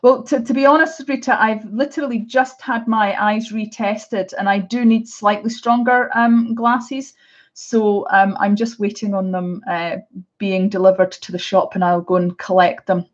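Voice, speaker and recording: A woman's voice: medium pitch, steady tone, normal speed, muffled recording. A middle-aged Scottish woman chatting casually through a live unboxing, with many hesitations and restarts. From Scotland. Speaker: art vlogger.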